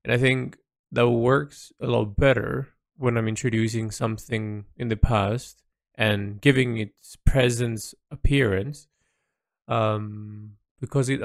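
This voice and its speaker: A man thinking aloud in an audio log about the story he is writing and illustrating, halting and restarting often. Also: lowish voice, no room sound, studio quality. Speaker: creator discussing his illustrated story project. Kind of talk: casual spoken audio log.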